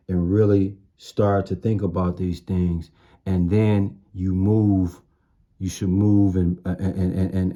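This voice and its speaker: A man's voice: low voice, mellow. A man giving an informal sermon in blunt, streetwise slang, repeating phrases for emphasis. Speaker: street-style preacher.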